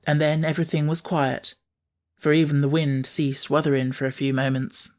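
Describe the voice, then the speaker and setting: A man's voice: a little high, flat delivery, normal speed, darkish tone. A man reading a children's novel aloud as scripted audiobook narration.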